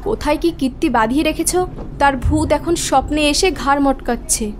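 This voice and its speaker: A woman playing a sharp-tongued, bossy, suspicious wife in an animated story, insistent and sarcastic. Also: high voice, a little fast, a little hiss or hum, close to an everyday voice. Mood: scolding, sarcastic.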